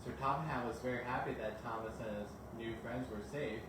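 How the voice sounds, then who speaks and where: A man's voice: mid-range voice, a little fast. A man reading a children's bedtime story aloud as a storyteller. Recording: very echoey, distant mic, noisy background.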